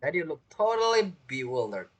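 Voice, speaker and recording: Streamer woman, casual game reactions; fairly low, very lively, laughs a lot. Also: moderate pace, husky. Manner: laughs often, chatty, broken English.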